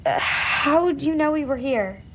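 A woman's voice: sits high, expressive, normal speed, fairly bright tone, slight background noise, low-quality recording. An actress playing a hesitant, wary ten-year-old girl in an audio drama.

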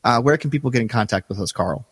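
A man's voice: medium pitch, expressive, fast, fairly bright tone, slightly rough, dry recording. A man co-hosting a fan podcast, chatty and upbeat, often chuckling. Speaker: podcast co-host. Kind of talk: casual podcast chat.

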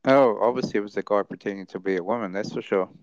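A man chatting as a guest in a halting, repetitive, rambling way, over dull lo-fi audio. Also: on the low side, a little mellow, no room sound. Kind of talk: casual chat, anecdote telling.

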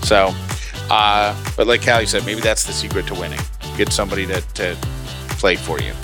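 A man's voice: fairly low pitch, fairly even intonation, normal speed, over loud music. A man co-hosting a podcast, chatting casually and joking easily with his co-host. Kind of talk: casual chat.

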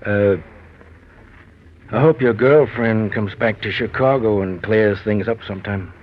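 An actor playing a world-weary police detective, dry and clipped, in 1940s radio noir style. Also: male voice, lowish voice, a little slow, dull audio, a little hiss or hum, natural voice. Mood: dry, knowing. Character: world-weary, sardonic male Chicago police detective sergeant. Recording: band-limited 1940s radio with music.

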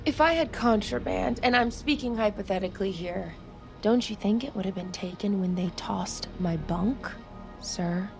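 A defiant young American woman soldier played by an actress in an audio drama. She is blunt and sarcastic, with a quick temper and a mid-pitched voice over prominent music. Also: vocal fry, natural voice. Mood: guarded, defiant. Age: young adult. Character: defiant, hot-tempered young female army recruit. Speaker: lead character and narrator.

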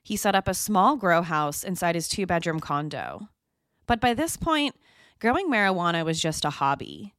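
A woman hosting a true crime podcast, telling the story in a measured, scripted narration. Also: on the low side, big pitch swings, a bit bright, no room sound, clean studio sound. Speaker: podcast host.

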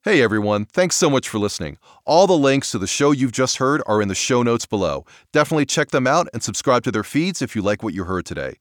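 A man's voice: medium pitch, very animated, quick pace, hardly any pauses, dry recording. Male podcast host, scripted listener address, mid register, dry studio sound.

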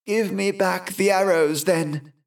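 A man voicing an eerie, vengeful old Scottish woman in dramatic, drawn-out dialect for a storybook tale. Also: high voice, steady tone, vocal fry, voice pushed up, exaggerated voice. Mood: demanding.

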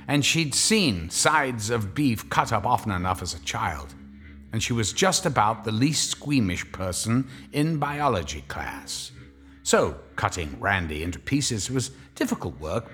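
A man narrating a scripted horror story in a wry, measured, dramatic way. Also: mid-range voice, a bit bright.

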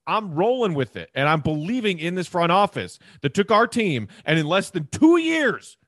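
A man's voice: high voice, big pitch swings, normal speed, fairly bright tone, no echo, clean studio sound. A man hosting a sports podcast, giving conversational commentary and building reasoned points in long, stressed lists.

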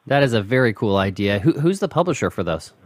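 A man's voice: medium pitch, sing-song, fast, dry recording, clean studio sound. A man hosting a casual podcast interview, polite and appreciative.

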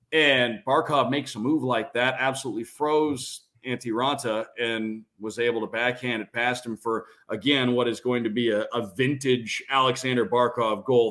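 Guy doing sports breakdown on a podcast, medium pitch, repeats stuff for emphasis. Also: fairly bright tone. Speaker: radio play-by-play announcer, podcast guest. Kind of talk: sports analysis in a podcast interview.

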